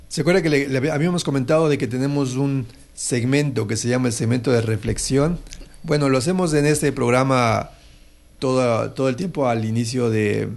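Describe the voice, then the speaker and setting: Male radio host, gravelly, hesitant storyteller. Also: medium pitch, darkish tone. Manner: hesitant, many fillers and restarts. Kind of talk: casual on-air talk with a moral story.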